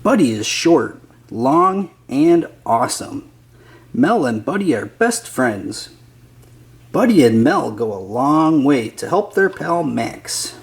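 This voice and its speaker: A man's voice: mid-range voice, very animated, slow pace, vocal fry. A man reading a children's picture book aloud as a scripted narrator.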